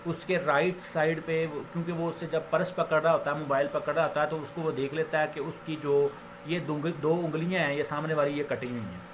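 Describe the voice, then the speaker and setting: A man with a Pakistani accent giving an informal law lecture, explaining with examples and switching into English legal phrases, which he reads slowly and haltingly. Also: fairly high pitch, fairly bright tone. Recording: noticeable background noise.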